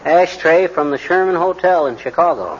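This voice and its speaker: Guy playing a folksy wisecracking american husband, highish blustery voice, old radio comedy, noisy muffled sound. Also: lively intonation, normal speed, close to an everyday voice. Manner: folksy slang, grumbling and wisecracking. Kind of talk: 1940s radio comedy acting. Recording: noisy, dull 1940s radio recording.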